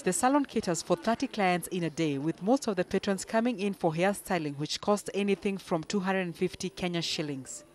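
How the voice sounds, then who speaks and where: A woman news reporter reading a scripted business news voice-over in a measured, formal way. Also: on the low side.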